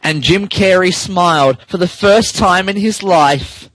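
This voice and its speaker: A man's voice: high-pitched, unhurried, fairly bright tone, no echo, rough recording. A man hosting a podcast, giving a playful, mock-dramatic comic reading of a story aloud. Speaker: podcast host reading fan fiction aloud.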